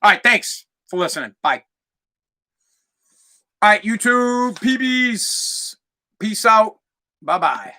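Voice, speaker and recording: Loud, brash Boston man ranting. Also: high voice, big pitch swings, slow pace, long pauses. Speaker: podcast host. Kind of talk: solo podcast rant.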